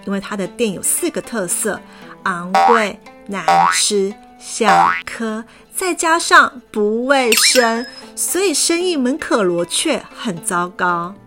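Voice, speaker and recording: Lively woman storyteller with music. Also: medium pitch, slow pace. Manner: lively, expressive. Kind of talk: children's story narration. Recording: background music.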